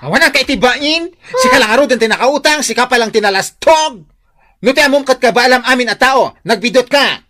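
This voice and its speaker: A woman's voice: on the high side, lively intonation, a little fast, pushed and bright. An actress playing an outspoken woman character in a radio drama. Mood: indignant.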